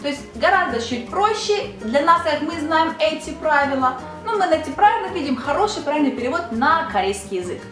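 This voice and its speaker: A woman's voice: sits high, big pitch swings, fairly quick, hardly any pauses, roomy sound, over music. A young Russian woman, a Korean language teacher, chatting casually in a video lesson and asking the viewer rhetorical questions.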